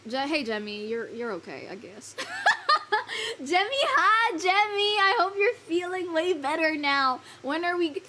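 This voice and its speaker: A woman's voice: extremely high pitch, sing-song, measured pace, pushed and bright, a little hiss or hum. A woman streaming live, chatting loosely with her viewers, laughing often, swearing casually and fumbling her lines. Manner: giggly, rambling, swears casually. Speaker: virtual streamer.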